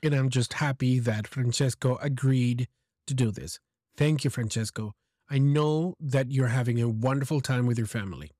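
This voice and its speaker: Slow, clear-speaking man hosting a podcast. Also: medium pitch, darkish tone, no room sound, clean studio sound. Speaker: podcast host. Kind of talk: solo podcast talk to English learners.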